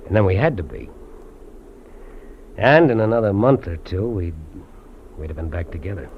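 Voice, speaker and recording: An actor playing a lonely, lovestruck fugitive trapper, earnest and confiding, in 1950s radio drama style. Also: male voice, sits low, sing-song, moderate pace. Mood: wistful, tender. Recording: dull, noisy old radio recording.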